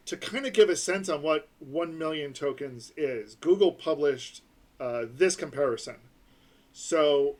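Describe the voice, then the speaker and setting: Man explaining tech in a fairly high voice. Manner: explanatory, breaks numbers into slow pieces. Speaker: podcast co-host. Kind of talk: casual tech chat.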